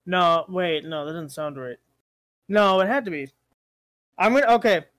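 A man's voice: high-pitched, lively intonation, moderate pace, fairly bright tone, dry recording, clean studio sound. A young man in a casual chat with friends, working things out aloud and second-guessing himself. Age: young adult. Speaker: guest friend answering questions.

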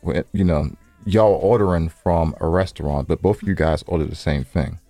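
A man's voice: deep voice, moderate pace, warm tone, no echo, faint music behind. An American man co-hosting a podcast in casual, unscripted banter, with a laid-back, conversational way of repeating himself. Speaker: podcast co-host.

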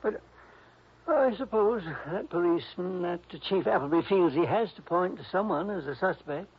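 An actor playing a gentle, fretful older composer in an early 1960s radio drama, speaking in a plaintive, hesitant way. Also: male voice, high voice, normal speed, husky. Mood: indignant, plaintive. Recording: muffled vintage radio recording.

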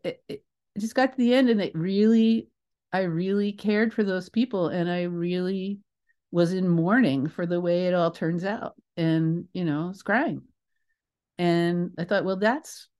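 Older woman telling expressive stories, lowish voice. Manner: expressive, emphatic, rambling with asides. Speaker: guest, theatre creator. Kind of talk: animated anecdotal storytelling in an interview.

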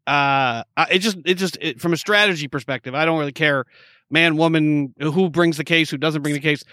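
A man's voice: fairly high pitch, fairly quick, bright, forceful tone, no room sound, clean studio sound. A man, a former lawyer, co-hosting a casual podcast and giving blunt, opinionated takes. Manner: opinionated, blunt, talks over others.

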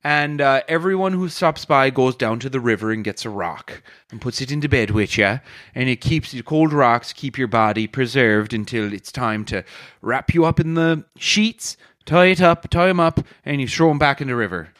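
Man voicing a rambling Irish bar-owner comic, mid pitch, dead-dry close sound. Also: lively intonation, normal speed, few pauses, fairly bright tone, no room sound, natural voice. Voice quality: rambling, chuckling mid-sentence. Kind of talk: improvised comedy storytelling.

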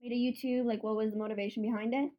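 A woman's voice: fairly high pitch, flat delivery, racing, muffled recording. A woman hosting a casual podcast, playful and teasing, laughing often. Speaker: podcast host. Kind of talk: casual chat.

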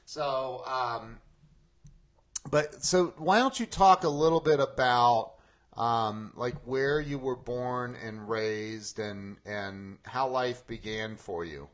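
A man hosting a podcast in a casual, conversational interview style, speaking informally and haltingly with repeated phrases. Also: medium pitch. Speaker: podcast host interviewing a guest.

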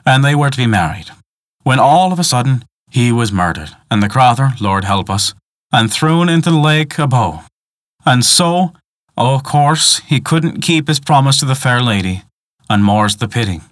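A man's voice: medium pitch, fairly bright tone, no echo. Guy doing an old peasant granny, folksy and superstitious storybook guide, rambling warnings in dialect, dry sound.

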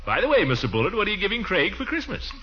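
An actor playing a pompous, blustery, soft-hearted middle-aged uncle in a 1940s radio comedy. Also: male voice, high voice, huge pitch swings, rapid-fire. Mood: scheming, coaxing. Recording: muffled 1940s radio recording.

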